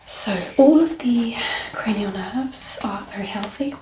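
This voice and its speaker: Woman, soft-spoken ASMR doctor, gentle near-whisper, slow delivery, roomy distant recording with background noise. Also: fairly high pitch. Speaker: ASMR medical exam role-player.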